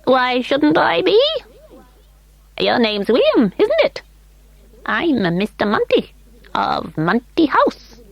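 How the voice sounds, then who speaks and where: A squeaky, sly little elfin man, put on by a woman reading a storybook, teasing in a high little voice that leaps up and down in pitch. He chuckles and talks quickly. The sound is close and dry. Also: wildly swooping pitch, gravelly, no echo, lo-fi sound, full character voice.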